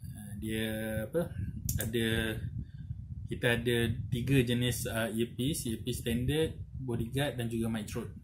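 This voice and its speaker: Malaysian guy doing a casual product walkthru, mid pitch, halting and repeats himself, noisy audio w clicks. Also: fairly even intonation. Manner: halting, repetitive explaining with frequent "lah" and "eh" tags. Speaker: product reviewer and seller. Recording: noisy, with audible background noise and clicks.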